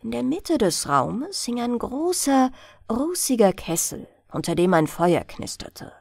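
A woman narrates a bedtime fairy tale as a scripted audiobook. Her delivery is slow and very melodic, with a slightly creaky edge. She tells it deliberately and expressively. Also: a little high, sing-song, darkish tone, no echo, studio quality.

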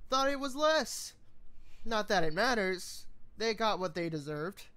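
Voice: medium pitch, lively intonation, a little slow, fairly bright tone, voice pushed up. An amateur reader voicing a hardened male soldier and courier in a story, blunt and impassioned. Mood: dismissive.

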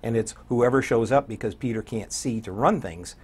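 An older American man talks conversationally as a guest in an interview. His voice is husky and sits in a middle register, like a seasoned local radio DJ describing his work. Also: mid-range voice, moderate pace. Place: small indoor TV studio.

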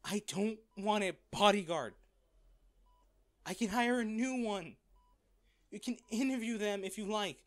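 A man playing a wealthy, devoted, protective lover in a romantic audio roleplay, speaking tenderly and earnestly with halting phrases. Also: high-pitched. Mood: pleading, earnest.

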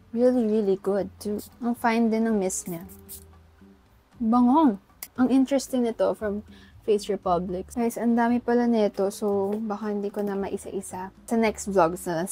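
A woman's voice: a little high, dark tone, rough recording. A young woman with a Filipino accent, chatting casually in mixed Taglish as she unboxes items, often saying "guys", seated on her bedroom floor with a clip-on mic. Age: young adult. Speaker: vlogger. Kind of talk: casual haul chat.

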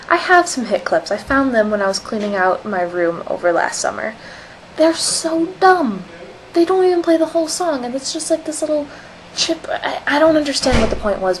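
A young woman chatting casually to camera as a vlogger, with a wry, emphatic way of talking. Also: mid-range voice. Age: young adult. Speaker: vlogger in a collaborative channel. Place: small indoor room at home. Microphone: webcam or laptop mic at desk distance. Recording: noisy webcam audio with room ambience.

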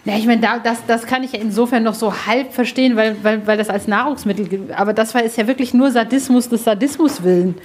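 A woman's voice: fairly high pitch, fast talker, hardly any pauses, a little mellow. Casual female reporter, noisy location recording. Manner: conversational, reacts to what she is shown. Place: inside a museum, on location.

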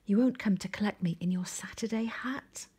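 Woman as an anxious, husky young boy penguin. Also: mid-range voice, moderate pace, mellow. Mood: anxious whisper. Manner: urgent, often whispering worried questions.

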